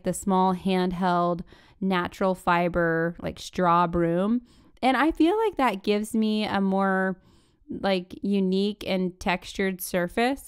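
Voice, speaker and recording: A woman with a very clear, smooth voice talks through a craft tutorial. She is informal and conversational, a little self-deprecating, like a chatty vlogger explaining steps. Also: mid-range voice. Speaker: DIY furniture-flip vlogger. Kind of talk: casual chatty tutorial voice-over.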